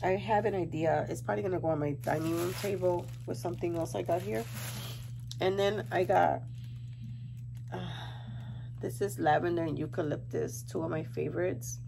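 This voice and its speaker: Congested woman with heavy vocal fry. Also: lowish voice, fairly even intonation, moderate pace, noisy background. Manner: informal, rambling, thinking aloud. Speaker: home decor haul vlogger. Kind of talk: casual shopping haul chat to viewers.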